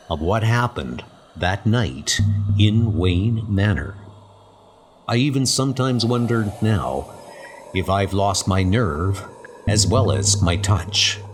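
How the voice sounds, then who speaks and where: A man playing a skeptical, self-doubting paranormal investigator, brooding and deliberate, in a dramatic comic book inner monologue. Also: sits low, slight background noise.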